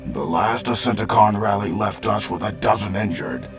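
A man's voice: normal speed, music in the background. A man voicing a solemn, idealistic male robot leader in a fan comic dub, formal and earnest. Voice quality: deep gravelly.

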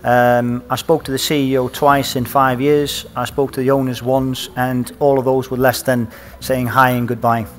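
A man in his thirties with an Icelandic accent, speaking on a conference stage panel through a headset mic, halting often with fillers and restarts. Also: medium pitch, flat delivery, slight background noise. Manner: hesitant, many fillers and restarts. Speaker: panelist, former footballer.

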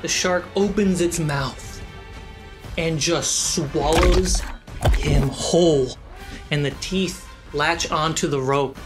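Low-voiced woman game master, unhurried. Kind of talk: narrating a tabletop fantasy game. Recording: dramatic music under the voice. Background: background score.

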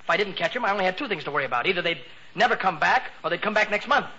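A high-voiced, melodramatic radio teen. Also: male voice, lively intonation, rapid-fire. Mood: earnest. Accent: American. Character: excitable, melodramatic teenage boy telling his adventure. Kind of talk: 1940s radio comedy acting. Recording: noisy, dull 1940s radio recording.

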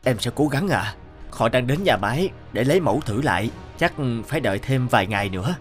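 A man's voice: medium pitch, animated, brisk, faint music behind, lightly acted. A man voicing a polite, submissive factory employee in a cartoon. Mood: nervous, deferential. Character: deferential, apologetic male factory employee. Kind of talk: animated cartoon dialogue.

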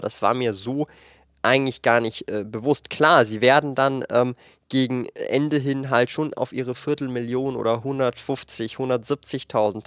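A man in his late twenties talks frankly into a handheld microphone in a studio room, explaining numbers in a lively, thinking-aloud way with fillers. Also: mid-range voice, no echo. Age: 20s. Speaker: finance YouTuber. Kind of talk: casual explanatory commentary to camera. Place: indoor studio room with shelves of collectibles.

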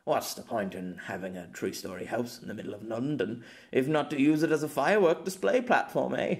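A man's voice: mid-range voice, a little fast, vocal fry, natural voice. Man acting chatty, eager young man in love. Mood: wry.

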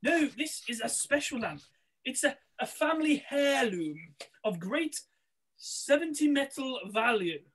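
A woman's voice: high-pitched, lively intonation, a little slow, slightly rough, exaggerated voice, rough recording. A woman playing a scheming, impatient male pantomime villain in a theatrical, insistent read-through. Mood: coaxing. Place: indoors at home, on a video call.

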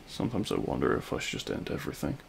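A man playing a remorseful, self-loathing supervillain in an intimate audio roleplay, speaking in a halting, anguished way. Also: a little hiss or hum, natural voice. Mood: anguished regret. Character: remorseful male supervillain confessing love at a grave.

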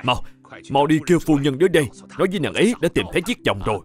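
An excitable middle-aged gentleman of an old Chinese household for a cartoon. His pitch swings widely as he hesitates, exclaims and effusively gives thanks, with music underneath. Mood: relieved. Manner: effusive, halting, full of interjections. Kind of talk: animated historical cartoon dubbing.

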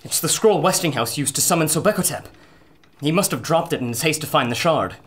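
An actor playing an excitable, learned doctor of antiquities in a pulp radio adventure, theatrical and scholarly with an anxious edge. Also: mid-range voice, a little fast, a little hiss or hum, natural voice. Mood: grave, scholarly.

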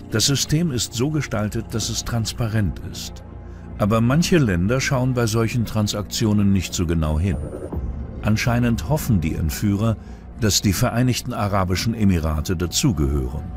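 A man's voice: on the low side, expressive, normal speed. A man narrating a true-crime documentary from a script. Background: music bed.

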